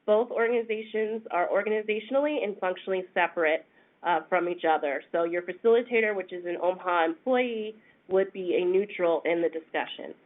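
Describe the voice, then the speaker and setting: A congested woman, a senior attorney, explaining a program in a webinar in a measured way with frequent pauses. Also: on the low side. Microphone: phone conference line. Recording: tinny phone line.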